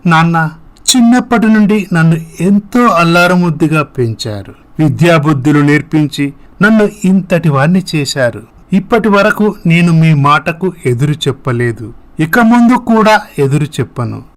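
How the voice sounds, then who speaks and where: Man acting a higher-pitched dutiful young son. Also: lively intonation, moderate pace, natural voice. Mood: obedient. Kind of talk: scripted moral-story voice acting.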